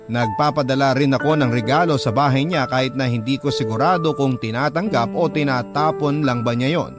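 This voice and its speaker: A man plays a young Filipino letter-writer narrating his love story for a radio drama. His mid-pitched voice is earnest and confessional, with clear music behind it. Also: natural voice.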